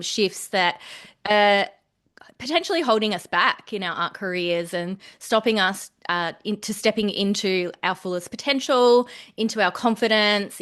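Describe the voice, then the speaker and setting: Woman, podcast co-host, smooth clear voice, reflective, hesitant, lots of ums. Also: medium pitch, a bit bright. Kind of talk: casual podcast chat.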